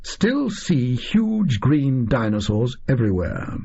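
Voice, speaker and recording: A man's voice: medium pitch, wildly swooping pitch, slow pace, gravelly, mellow, slightly rough, highs cut off, exaggerated voice. A man voicing a pompous, eccentric explorer-scientist reading out his diary in clipped, self-important phrases, for an audio story. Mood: drunken, lurid.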